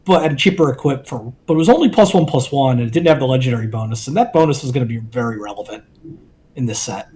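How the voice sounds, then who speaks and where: A man co-hosting a card game review, talking in a measured, analytical way with some filler ums. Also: medium pitch, expressive, mellow. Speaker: co-host.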